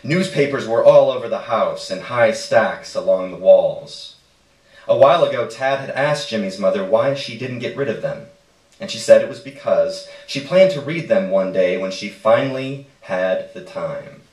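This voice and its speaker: A man's voice: fairly low pitch, lively intonation, normal speed, darkish tone. A man reading a short story aloud as narration at a live stage reading. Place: indoor stage reading in a roomy space. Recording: echoey room, distant microphone.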